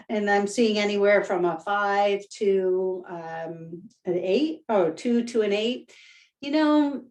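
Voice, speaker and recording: Woman webinar presenter, upbeat and enthusiastic, laughs often, video-call sound. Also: medium pitch. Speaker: workshop presenter. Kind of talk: live webinar talk.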